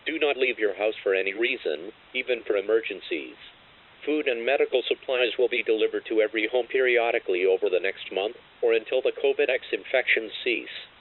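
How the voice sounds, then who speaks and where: A man reading a scripted emergency broadcast announcement in a formal, impersonal, synthetic-sounding way. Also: mid-range voice. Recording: tinny, phone-like narrow-band alert broadcast.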